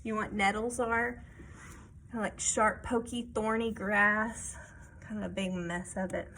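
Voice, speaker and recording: A woman's voice: mid-range voice, steady tone, a little slow, pushed and bright, a little hiss or hum. A woman reading a children's story aloud expressively, with simple explanations and questions to her young listeners.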